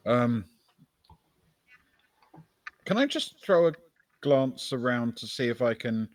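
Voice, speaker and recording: A man's voice: mid-range voice, animated, slow, no room sound. Casual man playing a tabletop game. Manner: casual, hesitant.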